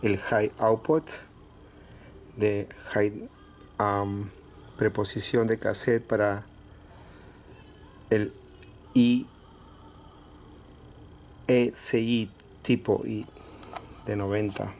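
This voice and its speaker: A man casually presenting items to viewers in short, halting phrases with pauses. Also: lowish voice, flat delivery. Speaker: collector showing cassettes for sale. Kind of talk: casual product showcase. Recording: clear background noise with clicks.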